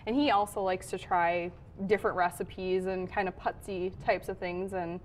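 A woman's voice: lowish voice, steady tone, normal speed, poor audio. Woman demonstrating cooking, faint background noise. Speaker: home cook guest on a TV show. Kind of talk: casual cooking demonstration and chat. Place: studio kitchen set. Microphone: lapel mic.

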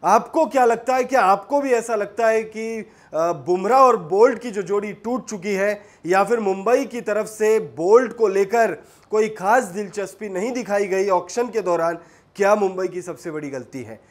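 A man's voice: sits high, moderate pace. A young man presenting a sports news bulletin to camera in a studio, emphatic and repetitive, standing with his hands pressed together. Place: studio in front of a screen.

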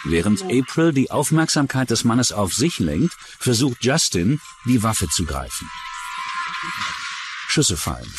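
A man's voice: mid-range voice, big pitch swings, a little slow. A man reading scripted true-crime narration as a documentary narrator. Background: music.

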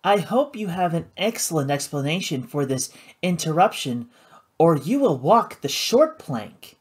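A man voicing an elegant, cold woman who runs a casino, speaking in a poised, measured, formal way. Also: high-pitched, very animated, voice pushed up, exaggerated voice. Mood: icy, commanding.

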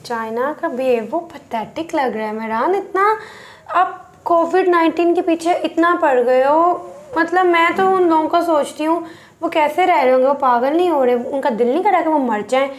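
Young woman, high voice, casual chat, animated, lapel mic, small room. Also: normal speed. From Pakistan. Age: young adult. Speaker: reaction channel co-host.

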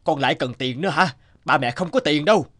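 A man's voice: fairly high pitch, fast, fairly bright tone, big-room sound, lightly acted. Man voicing a stern, exasperated cartoon father. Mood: angry, scolding. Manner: scolding, firm.

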